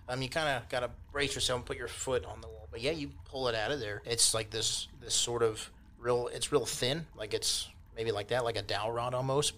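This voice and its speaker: A man's voice: mid-range voice, bright, forceful tone, slight background noise. A man acting as game master, improvising descriptions in a casual, rambling, colloquial way.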